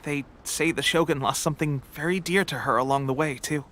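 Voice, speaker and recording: A man's voice: fairly high pitch, fairly quick, a bit bright, a little hiss or hum, lightly acted. A man playing an earnest, brave but ailing young resistance soldier in a video game. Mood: earnest, somber. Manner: earnest, breaking off to cough. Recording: game music behind voice.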